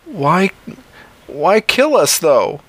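A man putting on the voice of a frightened young man who pleads desperately, pitched high and strained. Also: sing-song, drawn-out words, a bit bright, dry recording, exaggerated voice. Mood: pleading.

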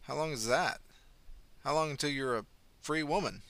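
A man's voice: fairly high pitch, moderate pace, pushed and bright, dry recording, voice pushed up. A man playing a wry, curious patient. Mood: probing. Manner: dry, probing questions. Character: young man in neck brace, curious, wry.

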